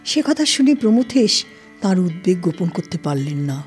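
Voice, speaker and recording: A woman's voice: lowish voice, sing-song, moderate pace, darkish tone. A woman reading scripted story narration as the narrator, with plucked string music behind her. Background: plucked string instrument music.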